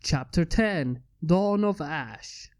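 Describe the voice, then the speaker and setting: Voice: medium pitch, expressive, slow, a little mellow. A narrator reading a fan fiction story aloud in a scripted storytelling style.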